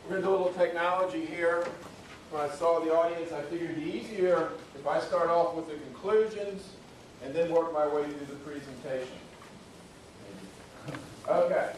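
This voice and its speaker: A man's voice: a little high, fairly even intonation, moderate pace, a little hiss or hum. Folksy man lecturing in echoey hall. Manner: folksy, conversational, emphatic on key points. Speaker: public works official giving a talk. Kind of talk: conference presentation to an audience. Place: university lecture room. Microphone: speaking away from the microphone. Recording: very echoey room, voice far from mic.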